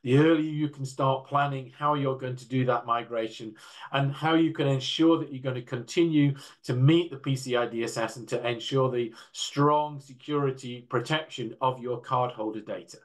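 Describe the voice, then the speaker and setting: An older man giving a measured, explanatory slide presentation as a panel speaker on an indoor video call. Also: mid-range voice, steady tone. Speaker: panel speaker from a standards council.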